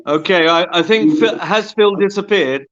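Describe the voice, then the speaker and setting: Halting man on a dull call line. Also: high voice, fairly bright tone. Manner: halting, many "uh" pauses. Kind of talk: committee meeting discussion. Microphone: online call.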